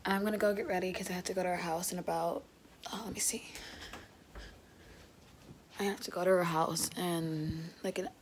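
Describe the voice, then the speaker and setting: A teenage girl chatting casually to viewers in a vlog, her voice a bit raspy, filmed on a phone held close in a small bedroom. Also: fairly low pitch, fairly even intonation, moderate pace, pauses often, a little hiss or hum. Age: late teens. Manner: chatty, rambling, addresses viewers as guys. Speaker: vlogger. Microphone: phone held close at arm's length.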